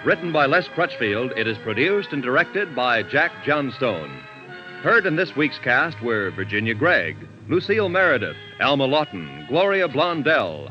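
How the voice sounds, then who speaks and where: American vintage radio announcer over loud music. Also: male voice, medium pitch, big pitch swings, unhurried, lo-fi sound. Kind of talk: 1950s radio announcing, scripted credits. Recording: vintage radio broadcast recording.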